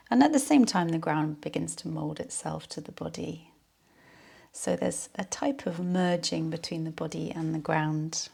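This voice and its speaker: A woman leading a guided yoga nidra relaxation in a soothing, unhurried, soft-spoken way. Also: low-pitched, vocal fry.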